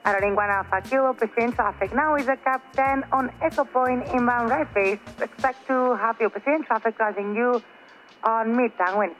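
A woman air traffic controller with a Spanish accent, giving brisk instructions over an aviation radio. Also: on the high side, faint music behind, poor audio. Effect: aviation radio transmission.

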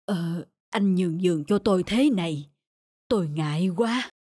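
A woman's voice: lowish voice, moderate pace, husky, no room sound, clean studio sound. Woman voicing an old beggar man immortal. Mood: humble pleading. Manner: humble and pleading, later calm and solemn. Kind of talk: cartoon voice acting. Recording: loud background music.

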